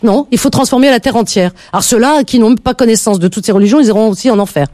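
A woman's voice: on the high side, quick pace, dark tone, dry recording, studio quality. A woman hosting a late-night call-in radio show, chatting with callers in an emphatic way and often repeating words as she gets going.

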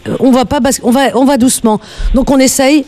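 A woman with a very high-pitched voice hosts a live radio call-in. She speaks earnestly and emphatically, often repeating a phrase to drive it home. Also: fairly even intonation, brisk, mellow.

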